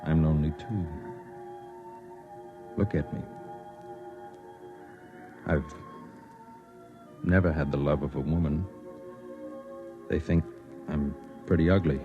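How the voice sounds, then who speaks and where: Very deep, lonely, earnest radio-drama man. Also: steady tone, muffled recording. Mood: tender, wistful. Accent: American. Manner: measured, earnest, tender. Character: lonely, gentle man narrating and playing himself. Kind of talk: 1950s American radio drama acting. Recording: vintage 1950s radio with background music.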